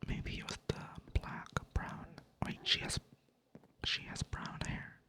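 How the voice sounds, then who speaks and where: A creator whispering ASMR-style in a monotone, rambling casually while playing a game at a computer. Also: slow pace. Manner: monotone delivery; rambling, casual, self-mocking. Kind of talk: whispered ASMR chat over gameplay.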